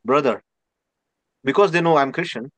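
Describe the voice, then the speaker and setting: A man with an Indian accent, a native Marathi speaker, answering interview questions haltingly with many restarts. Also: on the high side, dry recording. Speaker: guest scholar.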